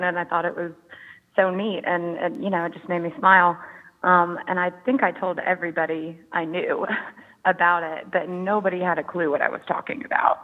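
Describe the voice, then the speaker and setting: A woman casually recalling something she saw, as a listener telling her story. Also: on the low side. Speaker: listener who sent in a question. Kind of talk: casual recollection. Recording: thin phone-like audio in hall.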